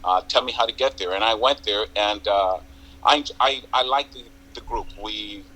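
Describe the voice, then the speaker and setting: An older man with a Jamaican accent, a community group president telling his story in a measured, halting way as a TV interview guest. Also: lowish voice, pushed and bright, rough recording. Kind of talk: interview on local TV.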